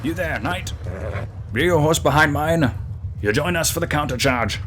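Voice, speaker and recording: A man as a brusque battlefield knight, raised pitch. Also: moderate pace, background noise, lightly acted. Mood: urgent, brusque. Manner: brusque, commanding. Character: gruff male knight officer in battle. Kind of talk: fantasy audio role-play acting.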